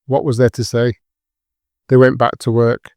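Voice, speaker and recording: A man narrating dramatic fiction for an audiobook in a sombre, measured, scripted manner. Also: mid-range voice, completely flat delivery, mellow, no room sound, studio quality.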